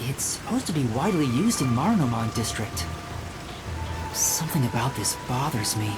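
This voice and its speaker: A woman's voice: a bass voice, very animated, moderate pace, loud music behind the voice, low-quality recording. A woman playing an earnest, thoughtful, hesitant boy detective in a video game. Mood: pondering. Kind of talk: English video game voice acting.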